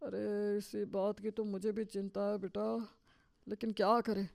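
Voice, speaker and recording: A man's voice: sits high, flat delivery, unhurried, gravelly, darkish tone, no room sound. Cartoon role: henpecked old father-in-law played by a man, resigned, worried, dry close recording. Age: older. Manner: resigned, complaining. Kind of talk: Hindi cartoon story dialogue.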